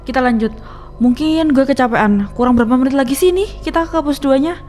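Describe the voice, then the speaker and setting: Seorang wanita mengisi suara pendaki muda yang ketakutan tetapi terus bilang dirinya baik-baik saja. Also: female voice, sits high, fairly quick, darkish tone, lightly acted. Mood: shaken. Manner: shaken, insisting she is fine. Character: frightened young female hiker. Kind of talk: animated horror story voice acting.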